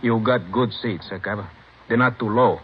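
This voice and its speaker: An actor playing a slangy, taunting small-time gangster and drug user in a 1950s radio crime drama. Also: male voice, on the low side, normal speed, natural voice. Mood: unbothered. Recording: muffled old radio recording, faint noise.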